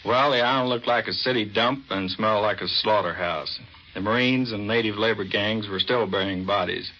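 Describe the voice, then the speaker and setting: A man's voice: on the low side, normal speed, bright, forceful tone. An American man, a navy commander, giving a plain, matter-of-fact scripted interview on 1940s radio. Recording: dull vintage 1940s radio recording.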